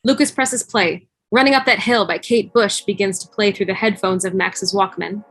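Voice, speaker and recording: A woman's voice: mid-range voice, moderate pace, fairly bright tone, breathy edge. A woman reading scripted stage directions as narrator of a fan table read over a video call. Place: remote video call, music behind.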